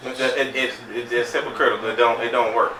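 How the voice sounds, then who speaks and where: A man's voice: medium pitch, level tone, a little fast, noisy background. A man in a casual group podcast chat, stumbling and repeating himself as he argues. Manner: repetitive, stumbling restarts. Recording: roomy, mic far off.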